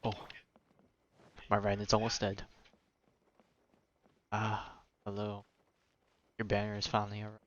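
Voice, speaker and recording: A man's voice: on the low side, completely flat delivery, unhurried, long pauses, a bit bright, highs cut off. A man giving casual, offhand live gameplay commentary as a streamer. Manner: profane, offhand. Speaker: game streamer. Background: faint game music.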